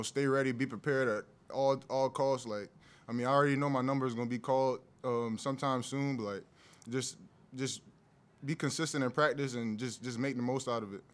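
A young man in his twenties, a college basketball player, answering haltingly with many restarts at a post-game press conference, seated at a table mic. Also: medium pitch, steady tone. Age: 20s. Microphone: desk mic on a stand.

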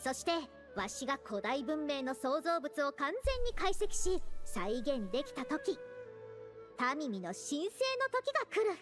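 A woman's voice: sits high, big pitch swings, a little fast, over music. A woman voicing a proud, youthful anime scholar who talks like an old sage with archaic, self-assured phrasing. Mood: proud, resolute. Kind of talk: Japanese anime game acting.

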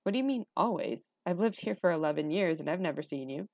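A woman's voice: on the low side, lively intonation, quick pace, gravelly, a little mellow, no echo, studio quality. A woman voicing a curious, indignant eleven-year-old boy in a storybook, exclaiming and questioning.

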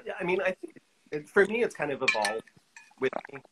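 A man's voice: fairly high pitch, big pitch swings, a little fast, background noise. Easygoing man, middle-aged guest. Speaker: guest, collage artist. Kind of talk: casual interview chat. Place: indoor studio room, video call.

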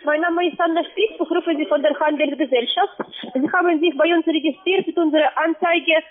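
A woman with a distinctly high voice gives a scripted sales pitch. She is a non-native speaker calling as a sales agent. Her voice comes through a thin, tinny phone connection. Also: fairly even intonation, a little fast. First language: not German. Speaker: call centre sales agent.

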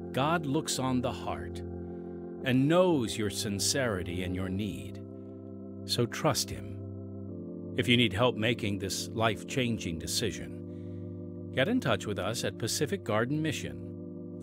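Male host, scripted announcements, folksy direct address, animated intonation, faint music behind. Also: mid-range voice. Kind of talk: scripted promotional narration.

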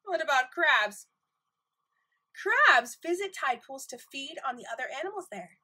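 A woman teaching nursery school, reading a picture book aloud to young children in an expressive, questioning manner, with exclamations. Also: sits high, moderate pace.

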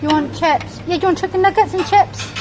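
A woman's voice: very high-pitched, fast talker. A woman with a Welsh accent, a mother chatting and coaxing her child while filming a casual vlog on a handheld phone in a restaurant. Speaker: vlogger, mother. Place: indoor buffet restaurant. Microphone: handheld phone camera. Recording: noisy restaurant ambience.

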